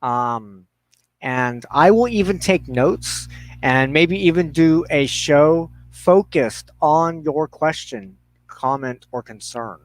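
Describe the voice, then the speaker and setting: A man's voice: a little high, slow pace, no echo, poor audio. A man hosting a live show, chatting casually to viewers in a slangy, rambling way into a headset mic. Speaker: livestream host of a spiritual ministry show. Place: inside a trailer.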